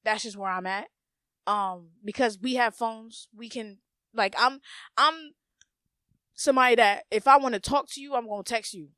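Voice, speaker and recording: A young woman with a slightly higher voice talks straight into the mic on her own podcast, blunt and profane, circling back to repeat her points. The sound is dry and close, with no room around it. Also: normal speed, bright, forceful tone, dry recording. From United States, Haitian family. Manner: blunt, profane, emphatic, often repeats phrases. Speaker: podcast host. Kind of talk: casual solo podcast monologue.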